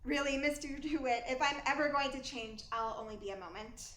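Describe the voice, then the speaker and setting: A woman's voice: sits high, steady tone, fairly quick, a bit bright. A woman playing an ambitious young actress in a radio play, earnest and modest on the surface but calculating. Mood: demure, guarded. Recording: roomy echo, faint background noise.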